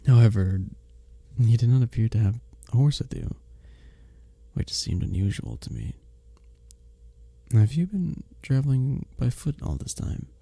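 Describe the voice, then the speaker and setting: A man playing a kind, courteous innkeeper in a medieval fantasy village, speaking softly and formally in an intimate ASMR roleplay drama. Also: mid-range voice, very animated, a little slow, gravelly, pauses often, breathy edge, no echo, exaggerated voice. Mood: curious. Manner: gentle, formal storybook phrasing, hesitant and tender.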